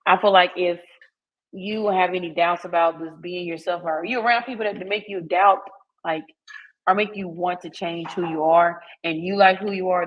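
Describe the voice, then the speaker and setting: A woman's voice: on the low side, lo-fi sound. A woman hosting a podcast, talking in a chatty, frank way with laughs and filler words. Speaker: podcast host. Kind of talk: casual podcast chat.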